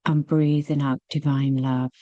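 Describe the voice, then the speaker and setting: A woman leading a guided meditation, speaking gently and soothingly with long, unhurried pauses. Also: sits low, little pitch movement, no room sound, muffled recording.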